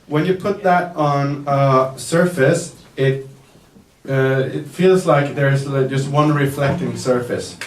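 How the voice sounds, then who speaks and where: A man working as a makeup artist, giving a live demonstration to the press at an indoor event, talking slowly and hesitantly with many fillers and the odd joke. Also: medium pitch. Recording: echoey room, mic far off, faint noise.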